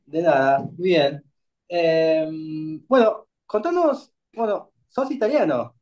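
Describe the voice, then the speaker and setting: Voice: medium pitch, lively intonation, slow pace, darkish tone. A host opening an episode and asking a guest casual, curious questions. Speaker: podcast host.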